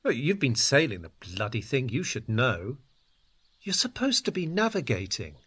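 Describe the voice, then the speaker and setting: A man's voice: medium pitch, huge pitch swings, moderate pace, fairly bright tone, breathy edge, voice pushed up, heavily acted. A man voicing a fed-up, complaining woman in a story, accusing and exasperated. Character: fed-up, complaining woman on a failed sailing trip. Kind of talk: acted dialogue in story narration.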